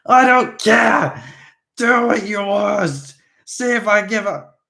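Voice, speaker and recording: A man's voice: fairly low pitch, measured pace, darkish tone, lightly acted. A man voicing a drunken, despairing male vampire prince who rants and shrieks. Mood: raging. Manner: shrieking, ranting.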